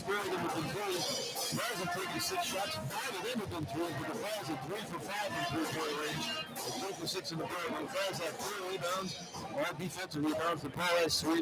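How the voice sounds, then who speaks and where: A man's voice: sits high, poor audio. A man giving live color analysis on a radio basketball broadcast, plainspoken and full of stats.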